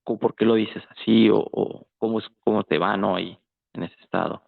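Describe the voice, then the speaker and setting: A man's voice: fairly low pitch, steady tone, moderate pace, vocal fry. A man with a Mexican accent chatting casually, circling his points with lots of filler words. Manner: hesitant, circling delivery with frequent filler words. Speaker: participant in a political discussion. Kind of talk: casual chat. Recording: tinny phone-like voice chat.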